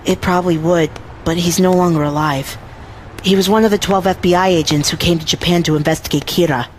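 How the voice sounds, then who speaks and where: A woman's voice: fairly low pitch, steady tone, brisk, slight background noise, natural voice. A woman playing a serious, analytical young investigator in an anime dub, reasoning earnestly and carefully. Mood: guarded, earnest. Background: background music.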